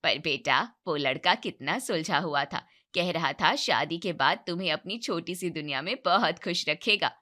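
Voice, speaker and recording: A woman acts out a kindly middle-aged mother in a cartoon moral tale. The voice is set lower, affectionate and full of advice. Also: moderate pace, few pauses, bright, forceful tone. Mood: affectionate, advising. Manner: affectionate, advising. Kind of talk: moral-story cartoon voice acting.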